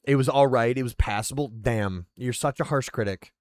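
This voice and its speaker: A man's voice: mid-range voice, moderate pace, darkish tone, no echo, clean studio sound. A man streaming casually who rambles and pokes fun at himself while reading roleplay aloud. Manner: rambling and self-deprecating.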